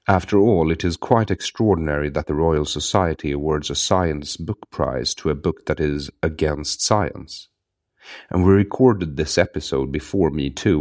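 Swedish guy hosting a podcast, super low voice, dry sarcastic delivery. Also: darkish tone. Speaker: podcast host. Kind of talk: read intro then casual conversation.

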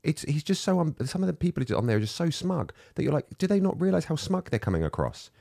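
A man's voice: fairly high pitch, sing-song, fast talker, creaky, dark tone, dry recording, clean studio sound. A man in casual chat as a co-host, ranting and self-mocking. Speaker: podcast co-host.

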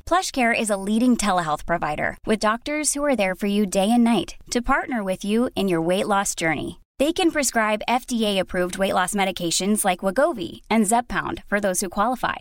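A woman's voice: medium pitch, expressive, a little fast, few pauses, no room sound. A woman reading an advertisement in a personal testimonial tone. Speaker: ad reader. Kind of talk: read advertisement.

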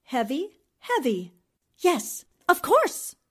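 An actress playing a shrewd, confident newspaperwoman sleuth in a 1940s-style radio mystery, deliberate and probing. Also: high-pitched, huge pitch swings, exaggerated voice. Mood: thoughtful.

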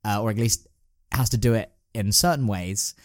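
Low-voiced man, dry close sound. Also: normal speed, no echo. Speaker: podcast host. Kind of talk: casual podcast chat about plays.

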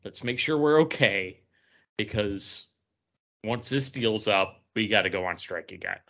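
A man speaks casually as a podcast co-host, explaining things in a conversational way. His voice is fairly low but bright and forceful. The recording is a little dull and lo-fi. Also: a little slow. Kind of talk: casual chat about entertainment news. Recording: dull lo-fi sound.

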